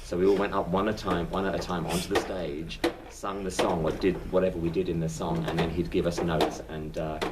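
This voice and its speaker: A man with a low voice and a London accent tells stories about his stage career in a relaxed, chatty way and often restarts mid-sentence. He sits some distance from the microphone, and steady noise hangs behind him. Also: a little fast, few pauses. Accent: London English. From England. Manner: chatty anecdotal storyteller with frequent restarts. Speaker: interview guest, stage actor. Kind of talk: casual interview chat.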